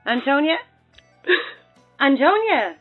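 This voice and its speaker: A woman's voice: sits high, very animated, very slow, narrow, tinny audio, soft background music, full character voice. An actress playing an anxious, snappy woman in an audio horror drama, urgent and defensive.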